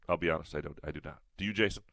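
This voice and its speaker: A man's voice: extremely low pitch, very fast, creaky, a bit bright, no echo, studio quality. A man co-hosting a sports podcast in casual chat, a little nasal, rambling with restarts and fillers. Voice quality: slightly nasal.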